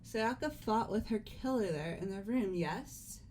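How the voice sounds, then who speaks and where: A woman's voice: medium pitch, expressive, a little slow, a little mellow, natural voice. A woman voicing a poised, inquisitive student in a fan-dubbed mystery game. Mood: probing. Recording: faint background noise.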